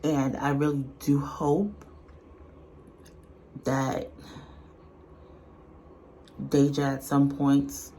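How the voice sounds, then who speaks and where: A woman's voice: a bass voice, level tone, extremely slow, long pauses, warm tone, a little hiss or hum. A woman giving casual, unscripted reaction commentary in a blunt, colloquial, earnest way. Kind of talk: casual, unscripted opinion talk.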